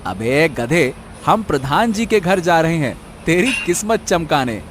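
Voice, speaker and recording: A man voicing a bossy, scheming, foolishly hopeful villager who exclaims theatrically in a comic animated story. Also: on the high side, a little slow, background noise. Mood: impatient.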